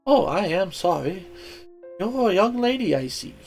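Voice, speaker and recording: A man's voice: high voice, very animated, normal speed, darkish tone, soft background music, voice pushed up. A man voicing a small male mouse who begs politely for help but is secretly sly. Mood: pleading. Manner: polite, wheedling, overly courteous.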